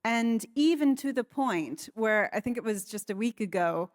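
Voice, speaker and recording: An American woman on a conference panel speaking in a measured, articulate way, politely challenging a point. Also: on the high side, animated, no echo, clean studio sound. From United States. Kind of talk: panel discussion.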